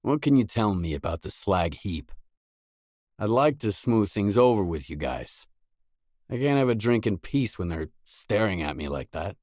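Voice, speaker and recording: A man voicing a gruff, laconic video game mercenary who speaks in a guarded, matter-of-fact way. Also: on the low side, big pitch swings, moderate pace, a little mellow, dry recording, clean studio sound. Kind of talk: video game dialogue lines.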